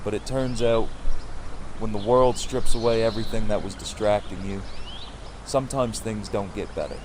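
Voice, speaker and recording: An actor playing a weary, earnest community leader in an audio drama, with a measured delivery. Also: male voice, lowish voice, close to an everyday voice. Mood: regretful, earnest. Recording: clearly noisy outdoor ambience behind voice.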